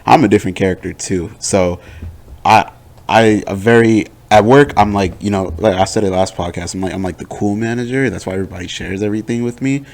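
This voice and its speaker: Low-voiced young man telling stories. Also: a little fast, a little mellow. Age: 20s. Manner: storytelling, joking, slangy. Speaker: podcast co-host. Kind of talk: casual chat.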